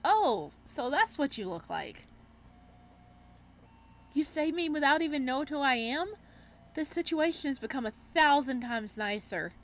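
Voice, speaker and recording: A woman voicing a bullied male student in a visual novel, soft-spoken, polite and self-pitying, with an eerie sweetness. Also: sits high, sing-song, a little slow, long pauses, full character voice. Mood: sweet, meek.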